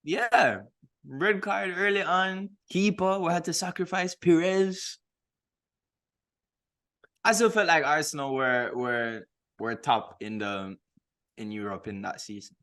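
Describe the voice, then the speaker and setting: A man's voice: on the high side, slow, long pauses, fairly bright tone. Emphatic man in casual sports banter. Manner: emphatic, informal, slangy. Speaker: podcast co-host.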